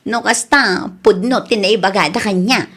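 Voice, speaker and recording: A woman's voice: medium pitch, moderate pace, a bit bright, lightly acted. A woman playing a gossipy, truth-telling young female friend in a radio drama, with an Ilocano accent. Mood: sympathetic.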